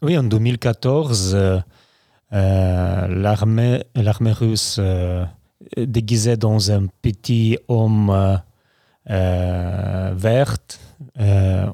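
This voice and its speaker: A middle-aged man from Ukraine, not a French speaker, answering interview questions haltingly with many fillers and restarts. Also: fairly low pitch, dark tone, no echo, clean studio sound. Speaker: guest.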